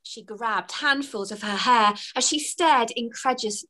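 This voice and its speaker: A woman's voice: on the high side, unhurried. A woman, an author reading her children's book aloud expressively, over a webcam from a home room. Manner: expressive, storytelling. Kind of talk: children's read-aloud narration. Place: home room with bookshelves, indoors.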